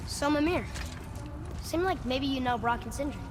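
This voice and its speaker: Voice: sits high, normal speed, close to an everyday voice. A performer playing an earnest, curious young boy in a video game, casual and questioning. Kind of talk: video game character acting. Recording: clear ambient noise behind.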